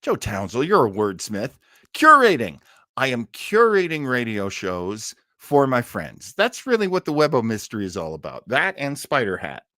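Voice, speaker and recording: A man hosting an old time radio livestream in a casual, chatty, enthusiastic way, often rambling and pausing to search for words. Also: mid-range voice, huge pitch swings, pushed and bright, dry recording, clean studio sound.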